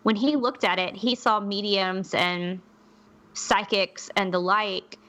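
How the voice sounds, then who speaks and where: A woman with a clearly bright voice at an average pitch. She tells stories conversationally, like a podcast co-host sharing notes. Her manner is candid and chatty, often stepping aside to qualify what she says. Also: slow pace.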